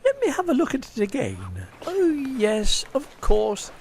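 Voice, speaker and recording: Camp, wildly swooping English shop assistant. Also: male voice, very high voice, sing-song, a little slow, a little mellow, heavily acted. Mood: fussily polite. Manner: arch, innuendo-laden, theatrically polite. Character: camp, cheeky, fussy male department store assistant. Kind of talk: radio sitcom acting. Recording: clearly noisy amateur radio-play recording.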